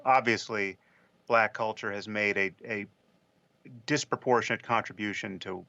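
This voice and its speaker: A man in a podcast conversation, speaking in a measured, careful way with frequent restarts. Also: fairly low pitch, steady tone, gravelly, pushed and bright, no echo. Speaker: podcast discussion participant. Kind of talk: thoughtful conversation. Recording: lo-fi replayed podcast clip.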